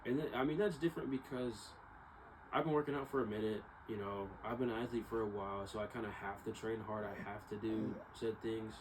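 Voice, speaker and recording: A young man with a fairly low voice talks off the cuff, as in a personal vlog. He is reflective and hesitant, looping back to restate his thoughts. Steady noise is clearly audible behind him. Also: a little fast. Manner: hesitant, reflective, circling back over points. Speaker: vlogger. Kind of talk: casual rambling monologue to viewers.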